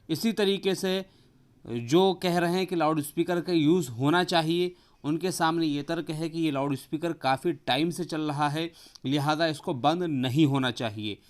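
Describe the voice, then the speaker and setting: Higher-pitched man explaining to viewers. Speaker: tech tutorial YouTuber. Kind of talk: explainer video addressed to viewers.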